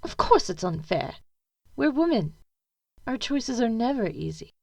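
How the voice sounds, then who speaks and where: A woman's voice: on the high side, very animated, measured pace, gravelly, darkish tone, dry recording, studio quality, full character voice. A woman playing a stern, anxious upper-class mother in an audio drama, imperious and pleading. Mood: resigned. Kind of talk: fan audio drama acting.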